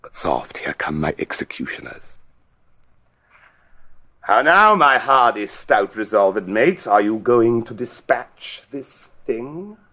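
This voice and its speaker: An actor playing a scheming, mock-pious royal duke, sly and sardonic, in a Shakespearean drama. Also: male voice, medium pitch, big pitch swings, takes it slowly, sharp tone, exaggerated voice. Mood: brisk, conspiratorial. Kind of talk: theatrical Shakespearean acting.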